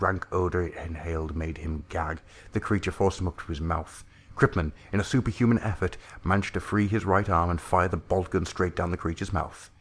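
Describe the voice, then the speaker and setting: A male audiobook narrator with a deep voice and a frayed, creaky edge. He reads dark science-fiction horror in a grave and theatrical manner.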